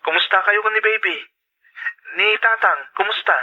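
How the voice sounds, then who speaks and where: An actor playing a hardworking, anxious and affectionate young husband in an audio drama, speaking earnestly. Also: male voice, high voice, fairly even intonation, moderate pace, phone-quality sound, lightly acted. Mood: affectionate, concerned. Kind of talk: Ilocano audio drama dialogue.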